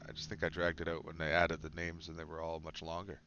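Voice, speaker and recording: Stuffy-nosed male streamer, low and sharp-edged, relaxed musing with quick excited outbursts. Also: highs cut off, a little hiss or hum. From Canada. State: stuffed up with a cold. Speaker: streamer playing a football management game. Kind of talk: casual gameplay commentary.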